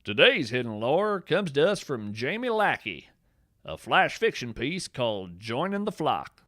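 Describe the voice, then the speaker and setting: A man's voice: medium pitch, sing-song, measured pace, fairly bright tone, dry recording, clean studio sound. A man playing a folksy, eccentric naturalist podcast host, delivering a whimsical scripted monologue in a theatrical, wry way. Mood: animated storytelling. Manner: theatrical, folksy, wry, addresses listeners as friends. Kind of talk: scripted comedic monologue, radio-show storytelling.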